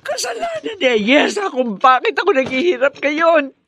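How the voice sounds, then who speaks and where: A woman's voice: high-pitched, big pitch swings, moderate pace, background noise. An actress playing a bitter, desperate, vengeful older woman villain, ranting in a Filipino radio melodrama. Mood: furious. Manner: seething, ranting.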